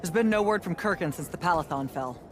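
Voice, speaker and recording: A woman playing a brisk, stern battlemage commander in a video game, terse and commanding. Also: fairly low pitch, lively intonation, background noise, lightly acted. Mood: terse, skeptical.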